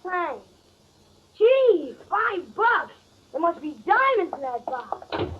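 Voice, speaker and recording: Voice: very high voice, very animated, slow pace, fairly bright tone, a little hiss or hum, heavily acted. A performer playing a cheeky, streetwise city kid, slangy and pushy, in a 1940s radio drama. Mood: cheeky, eager. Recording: muffled 1940s radio broadcast.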